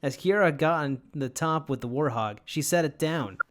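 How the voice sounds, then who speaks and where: A man's voice: a little high, normal speed, soft background music. A man reading fan fiction casually and comically, with wry, sweary asides.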